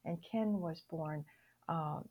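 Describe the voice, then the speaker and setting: Husky older woman, slightly muffled recording. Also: low-pitched. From Hawaii, United States. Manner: reflective, halting, acts out remembered dialogue. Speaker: interview guest, psychologist. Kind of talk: personal storytelling in an interview.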